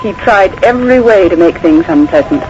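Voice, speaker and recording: An actress playing a guarded, quietly defiant young woman with cool, polite manners in a 1940s radio mystery. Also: on the low side, lively intonation, normal speed, lightly acted. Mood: grave, resolute. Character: guarded, principled young female script reader, quietly defiant. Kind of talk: 1940s radio acting with first-person narration. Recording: noisy vintage 1940s radio broadcast.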